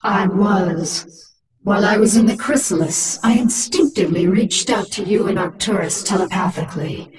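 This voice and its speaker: A woman's voice: medium pitch, moderate pace, far from the mic. A woman playing a proud, menacing human-alien warrior queen in a video game, commanding, cold and self-assured, with an echoing effect on her voice. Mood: proud, cool. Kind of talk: dramatic video game voice acting.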